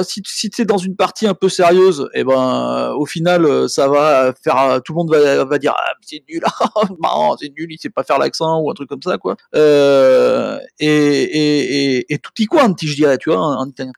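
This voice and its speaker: A man giving his opinions as a panelist in a casual discussion, speaking haltingly with many colloquial tags. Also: fairly high pitch, vocal fry, a little mellow, no room sound, studio quality. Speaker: panelist on a role-playing games show.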